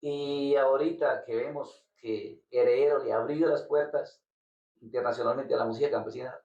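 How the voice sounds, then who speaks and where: A man from Colombia, a musician being interviewed, talking casually and haltingly, often repeating words as he searches for them. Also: mid-range voice, fairly even intonation, a little mellow. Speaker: guest musician. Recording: roomy, distant, dull lo-fi sound.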